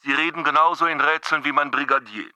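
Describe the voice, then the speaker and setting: An actor playing a gruff, irritable police commissioner in a German radio play, grumbling and blunt. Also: male voice, a little high, fast talker, pushed and bright, no room sound. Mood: irritated.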